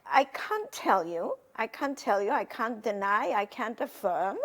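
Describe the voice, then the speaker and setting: An older woman whose first language is Polish, recalling her past slowly and hesitantly in an oral history interview. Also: a little high, very animated. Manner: slow, hesitant, reflective, many pauses and fillers. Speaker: interviewee, testimony witness. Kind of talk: recorded oral history testimony.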